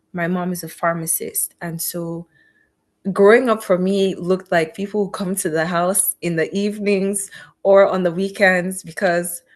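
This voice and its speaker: Middle-aged woman, physician guest, earnest and faith-filled storytelling, low-pitched. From Cameroon. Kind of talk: personal story in an interview.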